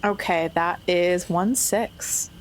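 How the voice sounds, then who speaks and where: A woman's voice: fairly low pitch, steady tone, takes it slowly, poor audio. A woman talking casually as a player in a tabletop roleplaying game. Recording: faint background ambient noise.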